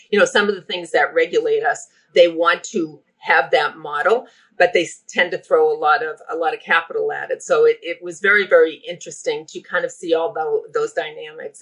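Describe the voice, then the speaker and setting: A middle-aged woman with a Boston accent speaks as an interview guest, talkative and enthusiastic. Her voice has a bright, forceful edge. She talks in a lively, unrehearsed way. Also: low voice, rough recording. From Boston area. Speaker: healthcare operations guest. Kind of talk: podcast interview.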